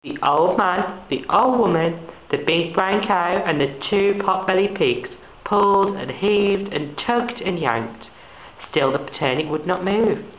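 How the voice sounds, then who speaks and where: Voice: mid-range voice, a little slow. A young adult student reading a children's story aloud. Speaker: student reader. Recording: echoey, muffled room, faint background noise.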